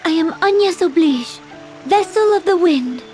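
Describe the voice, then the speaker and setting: A woman's voice: very high-pitched, animated, a little slow, darkish tone. A woman voicing a girlish young heroine in a video game, with an earnest manner. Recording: faint game music behind voice. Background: music.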